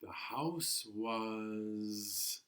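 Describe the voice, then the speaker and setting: A man hosting a storytime for children, reading aloud expressively in a deliberate, encouraging way. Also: on the low side, fairly bright tone, a little hiss or hum. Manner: slow, deliberate storytelling with dramatic pauses. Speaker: library storytime host.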